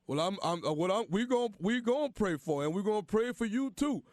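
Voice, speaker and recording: Officious church deacon played by a man, high voice, halting, folksy delivery for a prank call, dry sound. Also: lively intonation, darkish tone, no room sound. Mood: insistent, placating. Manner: halting, repeats words, folksy. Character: bumbling, stammering church deacon, officious and apologetic prank caller.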